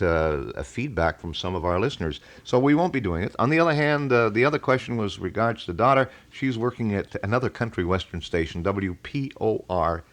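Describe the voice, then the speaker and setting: A middle-aged man hosting a local radio call-in show, chatting casually in a folksy, informal way on a 1980 broadcast. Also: lowish voice.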